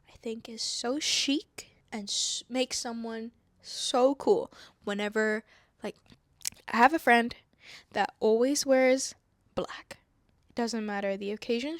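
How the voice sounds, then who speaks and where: Young woman vlogger, highish voice, calm chatty, kinda whispery and giggly, dry close mic. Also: no echo. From Indonesia. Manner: soft-spoken, drifting into whispery asides; playful, laughing at herself. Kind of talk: calm casual chat to camera. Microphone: wireless clip-on mic.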